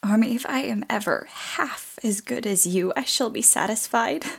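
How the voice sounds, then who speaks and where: A woman playing an earnest, devoted teenage daughter in a period audio play, with a husky, creaky voice. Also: on the high side, normal speed. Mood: earnest, concerned.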